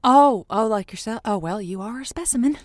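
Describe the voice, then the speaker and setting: A woman's voice: a little high, expressive, fairly quick, lightly acted. A woman playing a gleeful, sardonic colleague at a radio station, mischievous and bantering in casual sitcom dialogue. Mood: gleeful, teasing.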